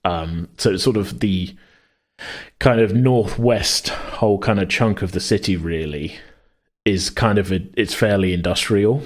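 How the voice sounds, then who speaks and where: A man co-hosting a podcast in a casual worldbuilding chat, rambling as he thinks out loud. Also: deep voice, a little slow. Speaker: podcast co-host.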